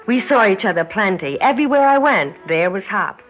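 An actress playing a proud, competitive young sports champion in a 1960s radio drama, curt and guarded. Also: female voice, mid-range voice, expressive, brisk, natural voice. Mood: brooding recollection. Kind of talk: 1960s radio drama acting with first-person narration. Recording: dull 1960s radio recording, loud music.

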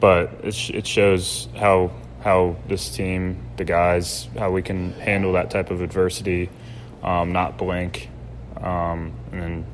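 Low, flat-voiced young male athlete. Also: slow pace, a little hiss or hum. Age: young adult. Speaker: college football quarterback. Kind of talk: interview answers.

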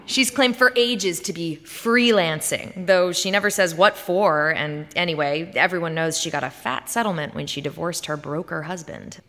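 Woman, bright voice, expressive literary storyteller, dramatic delivery, big reverberant hall. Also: fairly low pitch, normal speed, hardly any pauses. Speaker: short story reader on stage. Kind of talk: dramatic scripted literary narration.

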